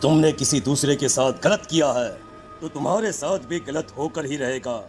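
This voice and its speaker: A stern occult master from a cartoon ghost story, acted by a voice performer. The delivery is grave, measured and commanding. Faint music runs behind it. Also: medium pitch, a little mellow, lightly acted. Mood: accusing. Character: stern, accusing village occult holy man. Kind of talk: cartoon horror story voice acting.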